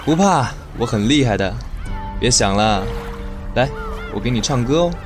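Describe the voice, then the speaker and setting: A man playing a gentle, trusting young merman in an audio drama. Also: medium pitch, expressive, normal speed, music in the background, lightly acted. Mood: gentle, playful.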